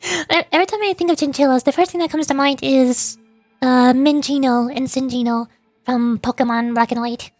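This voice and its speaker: A woman with a high-pitched voice gives casual livestream commentary. She rambles along as she talks to viewers about the game. The sound is dry, with music underneath. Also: steady tone, normal speed, a little mellow, no room sound. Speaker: VTuber game streamer.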